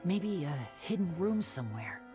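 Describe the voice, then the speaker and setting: An actor playing a composed, sly young male stage magician in an anime video game, measured and theatrical, with game audio behind. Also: mid-range voice, sing-song, lightly acted. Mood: musing. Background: game music.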